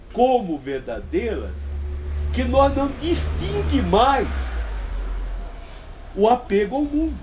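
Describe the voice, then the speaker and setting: A man with a Brazilian accent speaks in a very high register with swooping, lively intonation. He teaches a group informally, repeating phrases for emphasis and posing rhetorical questions. There is slight noise on the live recording. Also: rough recording. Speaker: spiritual teacher leading a group discussion. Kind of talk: informal spiritual talk answering questions.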